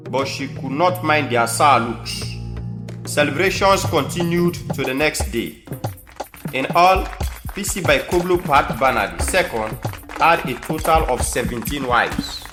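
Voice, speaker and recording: A man with a Sierra Leonean accent narrates a scripted audio drama at an unhurried, slow pace. His voice echoes in the room, and music plays clearly behind him. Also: on the high side.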